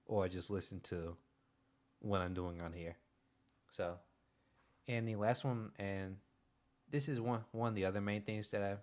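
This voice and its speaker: A young man streaming, chatting casually in a soft-spoken, hesitant way with many pauses and restarts. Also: fairly low pitch. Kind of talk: casual livestream chat.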